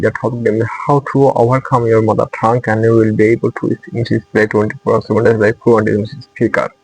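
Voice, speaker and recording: A man's voice: mid-range voice, a little mellow, low-quality recording. A young man from Bangladesh whose first language is Bengali, speaking English with a Bangladeshi accent as he earnestly gives a motivational talk to his channel's viewers. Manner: earnest, repetitive phrasing in non-native English. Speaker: English-learning channel host.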